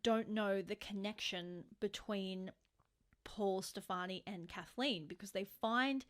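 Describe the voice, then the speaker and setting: A woman's voice: mid-range voice, takes it slowly. A woman co-hosting a podcast, telling true-crime stories in an informal, conversational way with emphatic stresses. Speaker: podcast co-host.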